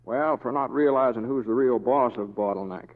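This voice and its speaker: A man's voice: mid-range voice, normal speed, in a large hall, lightly acted. A man playing an easygoing, wry western deputy with a folksy, hesitant drawl in an old radio drama. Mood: mild, wry. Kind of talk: 1940s radio acting. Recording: dull old radio recording.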